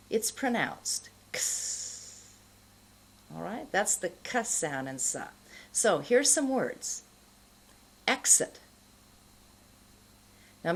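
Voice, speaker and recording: A middle-aged American woman teaches pronunciation at a slow, deliberate pace. Her voice sits a bit low and is slightly husky, with very animated intonation. She enunciates carefully, as a teacher leading learners through a lesson. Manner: deliberate, careful enunciation, pausing between words. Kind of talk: instructional lesson to camera. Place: indoor studio.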